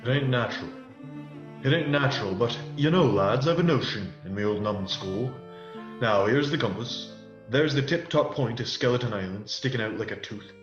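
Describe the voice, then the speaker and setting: A man acts out a scheming pirate chief for a radio play, talking persuasively in salty, rustic sailor dialect. His mid-pitched voice sounds dull and roomy, with clear music behind it. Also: expressive, normal speed, lightly acted. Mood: calculating. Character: wily male pirate leader, persuasive and scheming. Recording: muffled, echoey audio under loud music.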